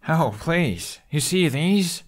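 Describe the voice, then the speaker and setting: A man's voice: fairly high pitch, expressive, takes it slowly, pitched above the performer's own voice. A man voicing a wry, playful, scrawny teenage boy with sarcastic animation in an audiobook. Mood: exasperated, teasing. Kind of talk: audiobook character acting.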